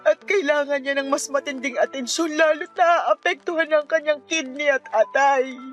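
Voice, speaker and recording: An actress plays a despairing, sleepless young mother in a Filipino radio drama. Her Filipino-accented woman's voice sits high and swings between sullen withdrawal and raw pleading. Faint music runs behind her. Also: moderate pace, natural voice. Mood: tearful, anguished. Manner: curt and sullen, breaking into tearful pleading.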